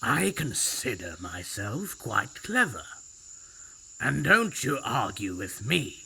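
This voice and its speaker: A proud, ancient tiger, played by a man, tells a storybook tale in a gravelly, unhurried voice. His delivery swoops up and down with theatrical relish. Loud music plays behind him throughout. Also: mid-range voice, sing-song, poor audio. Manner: theatrical and self-satisfied. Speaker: narrator. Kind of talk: scripted storybook narration. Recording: narration over loud music.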